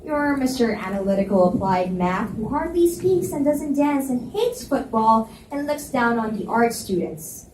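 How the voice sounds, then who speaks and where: A woman's voice: a little high, expressive, unhurried, few pauses, a little mellow. A woman reading the part of a tired, blunt, sarcastic young college student in a live dramatic reading of romance fiction. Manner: blunt, sardonic. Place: live reading in an echoey indoor room. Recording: echoey room, distant mic, hiss.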